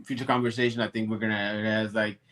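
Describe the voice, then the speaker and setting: A man from Puerto Rico, talking earnestly in a casual livestream chat, halting with fillers. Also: on the low side, level tone, fairly bright tone. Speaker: comic co-creator.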